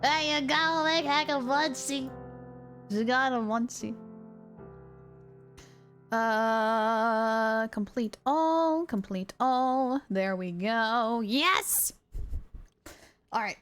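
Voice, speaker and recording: A woman livestreamer chatting casually over a game, with an excitable, gushing manner. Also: on the high side, drawn-out words, pauses often, soft background music. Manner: excitable, gushing, baby-talks to her dog. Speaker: live game streamer. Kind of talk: casual livestream chat while gaming. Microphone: streamer's mic, wearing headphones.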